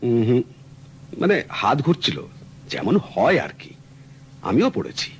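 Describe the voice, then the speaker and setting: Earnest middle-aged client, courteous, lively up-and-down voice, old radio recording with faint hiss. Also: male voice, mid-range voice, slow, exaggerated voice. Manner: courteous, earnest, explanatory storytelling. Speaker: client telling his story. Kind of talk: 1970s radio drama acting.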